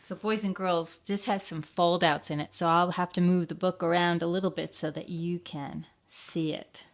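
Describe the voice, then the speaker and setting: A woman reading aloud and talking simply and gently to young children as a storytime leader. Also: on the low side, fairly even intonation, moderate pace. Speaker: children's library storytime reader.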